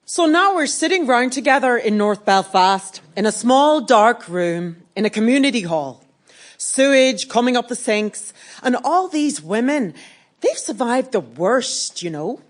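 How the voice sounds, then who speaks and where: Earthy woman activist: higher voice, very lively pitch, measured stage storytelling. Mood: wry recollection. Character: earthy, wry Northern Irish woman activist telling her story. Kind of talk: documentary stage play monologue.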